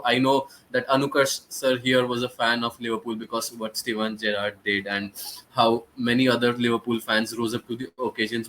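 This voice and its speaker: A man's voice: medium pitch, pushed and bright, rough recording. A man with an Indian accent co-hosting a casual interview, with some noise in the recording. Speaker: podcast host. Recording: faint background noise.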